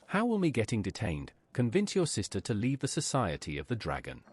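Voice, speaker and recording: A man's voice: lowish voice, huge pitch swings, a little fast, slightly rough, no room sound, heavily acted. A man's synthetic-style voice playing a cool, inquisitive fantasy game adventurer who preaches heresy. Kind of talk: AI-voiced video game player dialogue.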